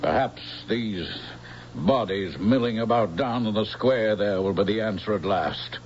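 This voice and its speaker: An actor playing an imperious, impatient emperor in a vintage radio drama, haughty in every phrase. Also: male voice, on the low side, unhurried, natural voice. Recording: muffled, hissy old radio recording.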